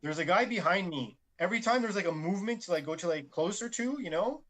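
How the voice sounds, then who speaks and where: A man's voice: high-pitched, lively intonation, quick pace, a bit bright. A man co-hosting a podcast, telling a rambling everyday story in casual chat and restarting his sentences often. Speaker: podcast co-host.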